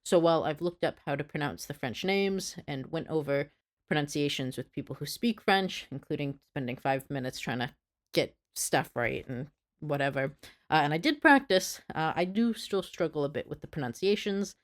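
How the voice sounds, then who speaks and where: A woman's voice: low-pitched, lively intonation, normal speed. A woman narrating a scripted history lesson, earnest, with apologetic asides. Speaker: history video creator.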